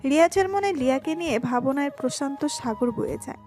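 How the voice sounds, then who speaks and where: A woman's voice: sits high, expressive, brisk, darkish tone. A woman reading scripted narration for a romantic story. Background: music.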